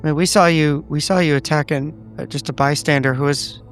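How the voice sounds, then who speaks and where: Brief: mid-pitched male player, casual, hesitant questions, faint music. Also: steady tone, a little fast. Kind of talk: casual tabletop game talk.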